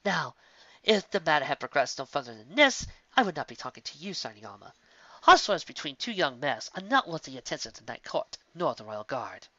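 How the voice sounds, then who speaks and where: A stately, ancient alicorn princess who weighs every formal word, voiced by a man pitching his voice up, crisp and bright in tone. The recording is dry and dull, with a lo-fi edge. It sounds like a storybook reading done at home. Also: big pitch swings, no echo, exaggerated voice. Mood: stern. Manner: formal, measured royal diction.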